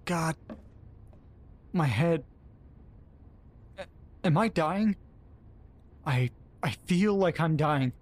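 An actor playing a hungover man in an audio drama, muttering wearily and haltingly to himself and his dog with groans and sighs. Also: on the high side. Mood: pained, groggy. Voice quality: groaning, sighing. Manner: weary, self-pitying, halting muttering. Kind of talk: first-person audio drama monologue.

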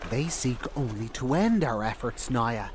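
Male actor, sinister cult villain, horror audio drama, slow, taunting, distant, contemptuous. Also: medium pitch, expressive, a little hiss or hum, lightly acted. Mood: mocking menace. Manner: slow, mocking, menacing.

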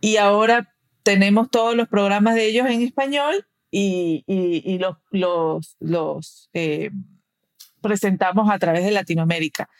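A woman's voice: mid-range voice, measured pace, clean tone. A woman from the United States speaking as an interview guest, explaining conversationally and checking in with short tag questions. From raised in the United States. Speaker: podcast guest, perinatal educator and director.

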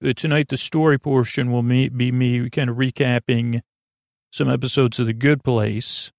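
A man with a creaky voice hosting a bedtime podcast in a meandering, halting monologue, often stammering and restarting as he drifts into tangents. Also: medium pitch, fairly even intonation, no room sound, studio quality. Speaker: podcast host.

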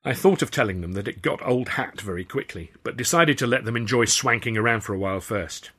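A man's voice: mid-range voice, lively intonation, fairly quick, sharp tone, slightly rough. A man reading a novel aloud as an author and narrator, in scripted audiobook style.

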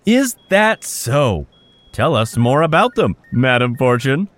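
A man's voice: medium pitch, very animated, slow, a bit bright, dry recording. A man playing an ingratiating telethon host with showy, theatrical broadcast patter. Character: smooth-talking male telethon host soliciting donations.

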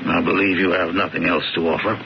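A man's voice: sits low, flat delivery, fast talker, gravelly, highs cut off, a little hiss or hum. An actor playing a stern, businesslike middle-aged father, blunt and pompous, in a 1970s radio drama. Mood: stern, dismissive. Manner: blunt, pompous, clipped bursts. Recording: band-limited old radio broadcast, music behind.

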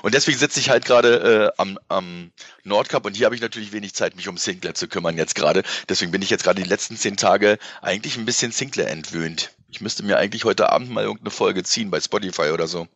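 Low-voiced man chatting in an interview. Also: fast talker, a bit bright, dry recording. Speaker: interview guest, radio presenter. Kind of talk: casual interview chat.

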